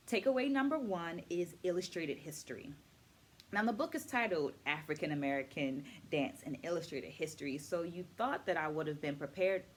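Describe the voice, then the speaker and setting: A woman dance educator talking to viewers in a measured, instructive way as she shares takeaways in a book vlog. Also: fairly low pitch. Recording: noticeable background noise.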